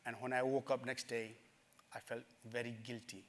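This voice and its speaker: A middle-aged man from Nepal, telling his life story in a summit talk, earnest and halting, with many fillers. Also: medium pitch, flat delivery, fairly bright tone. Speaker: speaker at a summit. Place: conference stage.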